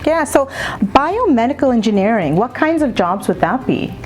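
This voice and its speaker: A woman's voice: fairly high pitch, big pitch swings, moderate pace, darkish tone. A woman hosting an interview show, asking leading questions and answering often with short affirmations. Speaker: host. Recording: faint background noise.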